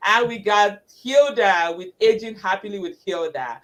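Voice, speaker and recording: An enthusiastic woman hosting a live online chat, talking in a loose, chatty way. Her pitch sits in the middle range, and she keeps things warm and personal as she welcomes viewers. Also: lively intonation, moderate pace, faint music behind. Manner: enthusiastic, chatty, says "girl" and "like" often. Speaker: livestream host. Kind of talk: casual live-stream chat.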